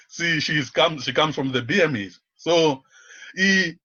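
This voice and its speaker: A man speaking unscripted as a radio interview guest, in a halting, searching way, often repeating words. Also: on the high side, pushed and bright, slightly rough, muffled recording. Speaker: interview guest, community volunteer and activist.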